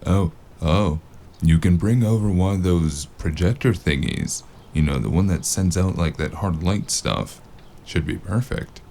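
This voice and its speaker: Man acting as a very deep, gravelly noir investigator. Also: measured pace, slight background noise. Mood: wry. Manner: dry, understated deadpan. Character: weary, sardonic male noir investigator. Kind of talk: noir audio drama acting.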